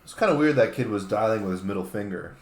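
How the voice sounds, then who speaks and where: A man with a somewhat low voice chats casually as co-host, dropping dry, hesitant asides. The room around him is clearly echoey. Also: animated, fast. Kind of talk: casual chat.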